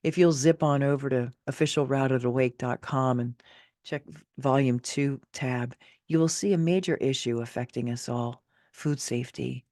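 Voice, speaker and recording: A woman's voice: a bass voice, normal speed, warm tone, dry recording. Woman author, middle-aged, narrating her essay with emphatic motivational punch; close, dry recording. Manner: emphatic, theatrical, motivational delivery. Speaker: author reading own essay. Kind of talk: expressive scripted narration of a personal essay.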